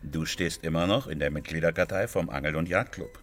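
An actor playing a sociable, talkative small-town hunter in a radio play, with a folksy manner. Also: male voice, extremely low pitch, a little fast, soft background music. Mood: jovial, chatty. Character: chatty, sociable small-town hunting club man.

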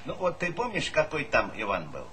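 Gruff village chairman: forceful tone, folksy, needling irony, slightly hissy old theatre recording. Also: a little high, huge pitch swings, moderate pace, full character voice. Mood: teasing, stubborn. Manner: folksy, blunt, ironic. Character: gruff, teasing male collective farm chairman. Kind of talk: Soviet stage comedy acting. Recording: faintly noisy 1970s theatre recording.

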